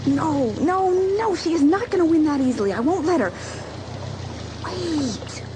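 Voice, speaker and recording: A woman's voice: high voice, lively intonation, unhurried, music in the background. A woman playing a scheming, competitive, jealous teenage girl in a dramatised audiobook, talking to herself in theatrical exclamations. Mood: plotting, excited. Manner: theatrical, exclamatory self-talk. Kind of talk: dramatised audiobook acting, thinking aloud.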